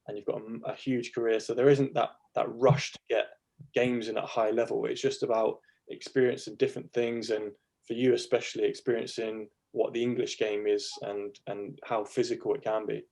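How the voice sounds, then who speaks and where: Male podcast host, gravelly, dark tone, encouraging, earnest, video call. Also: mid-range voice, level tone, brisk. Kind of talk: podcast interview chat.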